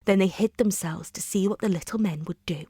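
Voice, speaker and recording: A woman narrating a bedtime story in a measured, expressive storybook style. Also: mid-range voice, breathy edge, dry recording, studio quality. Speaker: storyteller, reader.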